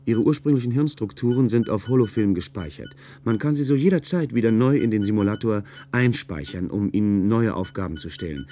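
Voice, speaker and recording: A man acts out an earnest young communicator in a science-fiction radio play. His voice is fairly low and very mellow, with a soft edge. He speaks as someone conscientious and troubled, at times hesitant. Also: animated, fairly quick, hardly any pauses, close to an everyday voice. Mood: troubled, confessional. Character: earnest young male communicator, recently graduated, troubled by guilt.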